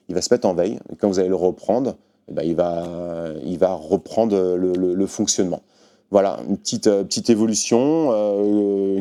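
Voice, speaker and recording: A man's voice: lowish voice, mellow. A man casually explaining a product to viewers in an informal, hands-on way, as a shop presenter.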